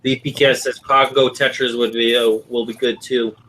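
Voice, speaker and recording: Bright-voiced man hosting a late-night stream. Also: mid-range voice, flat delivery, moderate pace, slight background noise, poor audio. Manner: rambling, reads comments aloud with fillers. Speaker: livestream host reading viewer chat. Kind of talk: casual live-stream chat. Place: home in Florida.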